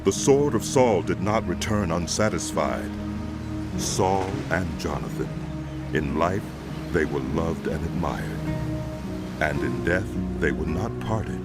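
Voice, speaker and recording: A man narrating dramatized scripture in a solemn, measured way, phrase by phrase, over music. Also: deep voice, lively intonation. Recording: loud music bed under voice.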